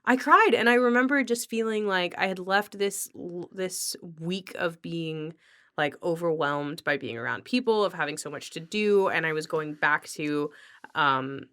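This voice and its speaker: A woman's voice: fairly low pitch, moderate pace, pushed and bright, clear, resonant tone. A woman hosting a casual podcast conversation, talking in an effusive, outgoing way with a readiness to laugh. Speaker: podcast host.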